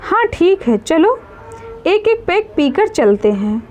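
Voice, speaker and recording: A woman's voice: very high-pitched, very animated, slow pace, darkish tone, faint music behind, heavily acted. A woman playing a scheming, sweet-talking husband in a dramatic story. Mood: casual. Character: scheming, smooth-talking adult husband plotting murder.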